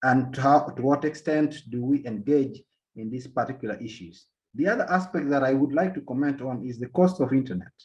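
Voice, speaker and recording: A man from Uganda giving an academic policy talk as a panel speaker, halting often and repeating words as he restarts his sentences. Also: medium pitch, darkish tone. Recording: dull lo-fi sound.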